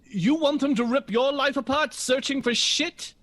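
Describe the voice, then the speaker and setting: A man playing a suave, domineering older jazz bandleader in a period audio drama, husky and smooth-talking with showy, pompous phrasing. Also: extremely high pitch, moderate pace, a bit bright. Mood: angry, forceful. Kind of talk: period radio drama acting.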